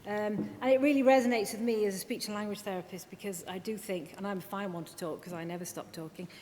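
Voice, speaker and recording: A woman speech and language therapist giving a chatty conference presentation, full of quick asides and self-deprecating remarks. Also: fairly even intonation, darkish tone. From Wales. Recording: reverberant hall with faint rumble.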